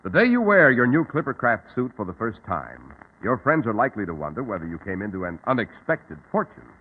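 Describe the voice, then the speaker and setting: A man's voice: fairly low pitch, huge pitch swings, fairly quick, breathy edge, phone-quality sound. A man with an American accent announcing a 1940s radio show in a formal, polished salesman's delivery on an old recording.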